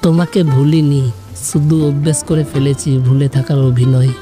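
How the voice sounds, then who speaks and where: A man playing a brooding, quietly hurt young former lover in an audio story, grave and restrained. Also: medium pitch, level tone, normal speed, close to an everyday voice. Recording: music behind the voice.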